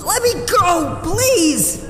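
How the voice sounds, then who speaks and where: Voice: extremely high pitch, lively intonation, very slow, roomy sound, long reverb tail, a crowd in the background, full character voice. A performer voicing a frightened twelve-year-old boy in an audio drama, pleading desperately.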